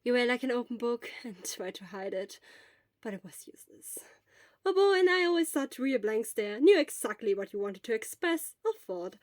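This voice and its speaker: A woman doing a breezy, loud young hero who teaches on the side. He talks high and bright, with an easy, joking warmth, in a dry, close storybook reading. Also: very animated, moderate pace, no room sound. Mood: nostalgic. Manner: raised bright casual voice.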